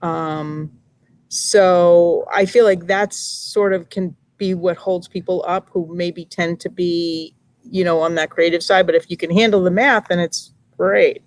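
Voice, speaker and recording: A woman from Brooklyn, a teacher studying for an MBA, chatting thoughtfully over a laptop video call from a library, with frequent fillers and pauses. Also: lowish voice, steady tone. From Brooklyn, New York. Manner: thoughtful, hesitant, many fillers. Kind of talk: casual video-call chat. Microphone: laptop mic on a video call.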